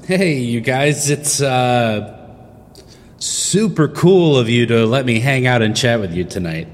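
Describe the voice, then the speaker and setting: An actor playing a mild, earnest young priest in a comedic audio drama, polite and hesitant, trying to sound cool. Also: male voice, mid-range voice, expressive, takes it slowly. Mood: awkwardly upbeat. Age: young adult.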